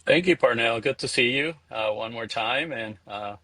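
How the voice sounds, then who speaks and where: A middle-aged man from Venezuela answering interview questions conversationally, in a halting way, repeating words as he thinks. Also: mid-range voice, bright, forceful tone, no room sound. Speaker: interview guest, spirits entrepreneur.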